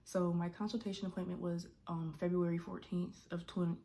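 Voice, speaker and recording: A woman's voice: lowish voice, level tone, a little fast, warm tone. A woman in her twenties talking casually to camera from her bedroom, rambling with frequent restarts and fillers. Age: 20s. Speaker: vlogger. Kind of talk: casual personal vlog. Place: bedroom, indoors. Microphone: camera at desk distance.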